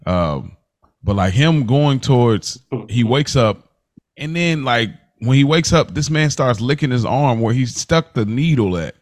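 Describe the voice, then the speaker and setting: An American man with a medium-pitched voice talks casually as a podcast host, recorded close and dry. His delivery is slangy and conversational, circling back on phrases to press a point. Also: lively intonation, a little slow, no room sound. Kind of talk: casual podcast discussion of a TV series.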